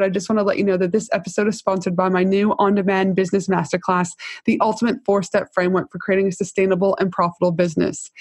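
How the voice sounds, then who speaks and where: A woman's voice: mid-range voice, darkish tone, slightly rough, dry recording. Gushing podcast host woman, dry sound. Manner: enthusiastic, salesy and gushing. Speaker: podcast host, business coach. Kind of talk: podcast intro and interview.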